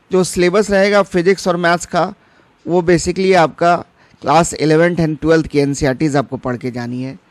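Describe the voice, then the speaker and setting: A man gives an instructional explainer. He speaks directly to his viewers and drops in English terms. The sound is dry, with no room echo. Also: on the high side. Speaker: exam-preparation channel host. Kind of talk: informational explainer for exam candidates.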